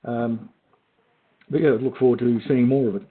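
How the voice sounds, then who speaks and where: A man hosting an online session gives measured, encouraging feedback on a student's project. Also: mid-range voice, steady tone, slight background noise. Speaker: session host giving feedback. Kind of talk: feedback on a video call. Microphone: video call. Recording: tinny phone-like call audio.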